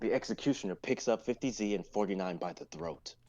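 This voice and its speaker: A man's voice: on the low side, fairly quick, dark tone, muffled recording. A young man narrating stage directions in a live amateur script reading. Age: young adult.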